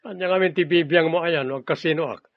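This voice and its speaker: An actor playing a spoiled, insolent gambler brother in a radio drama, defiant and taunting. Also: male voice, a little high, brisk, no echo, natural voice. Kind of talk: Ilocano radio drama acting. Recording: dull band-limited radio drama audio.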